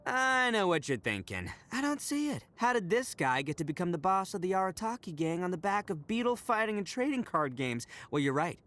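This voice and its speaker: A loudmouthed young male oni gang boss from a video game. The voice sits high and leaps around theatrically, with a bright, forceful edge. He is slangy, boastful and cocky, yet big-hearted. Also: very animated, brisk, few pauses. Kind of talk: video game character voice acting.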